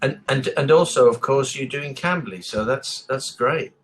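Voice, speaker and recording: English man, online language tutor. Encouraging, conversational, mid-pitched, circles back over his advice. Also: normal speed. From England. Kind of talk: casual one-to-one lesson chat. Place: online video call.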